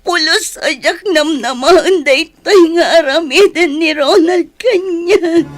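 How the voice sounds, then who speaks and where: High-voiced, heartbroken young Filipina. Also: female voice. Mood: tearful, pleading. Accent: Ilocano Filipino. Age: young adult. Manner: pleading, drawn-out delivery. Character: heartbroken young woman, dumped by her boyfriend, tearful. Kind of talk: Ilocano radio drama acting.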